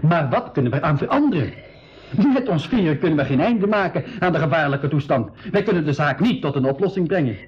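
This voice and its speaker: Man playing an earnest, higher-voiced nephew. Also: lively intonation, fairly quick, natural voice. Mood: concerned, practical. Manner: earnest, practical. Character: earnest, thoughtful young nephew on an adventure. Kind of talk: 1980s educational radio play acting. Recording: dull 1980s radio recording.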